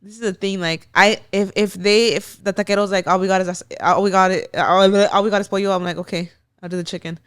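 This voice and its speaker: A woman's voice: mid-range voice, fairly even intonation, fast talker, fairly bright tone, clear, resonant tone, no echo, clean studio sound. A young adult woman with a Mexican American accent from Los Angeles, co-hosting a podcast in casual chat and ranting bluntly with lots of swearing. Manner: blunt, profane, exasperated rants. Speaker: podcast co-host.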